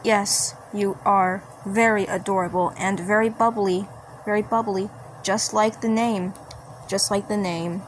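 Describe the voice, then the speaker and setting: A gentle mushroom creature played by a woman speaks slowly and kindly in a fan cartoon story. The delivery is deliberate and halting, over faint noise. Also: mid-range voice, steady tone, close to an everyday voice, low-quality recording. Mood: gently amused. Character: kindly, unhurried mushroom person greeting a young guest.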